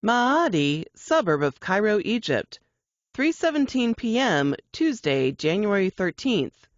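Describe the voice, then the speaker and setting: A woman's voice: medium pitch, sing-song, measured pace, no room sound, highs cut off. A woman narrator reading suspense fiction from a script in audiobook style.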